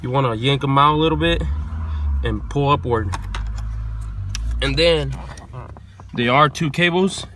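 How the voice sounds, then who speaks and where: A man's voice: medium pitch, measured pace, pauses often, pushed and bright. A man hosting a car installation tutorial, explaining each step casually and informally, repeating himself as he goes. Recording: noisy, clicks and vehicle sounds behind.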